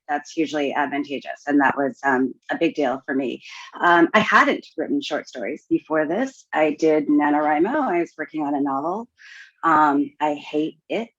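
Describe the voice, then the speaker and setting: A woman's voice: sits low, no room sound. A woman guest on a podcast panel chatting casually, recorded in a room with slightly dull audio.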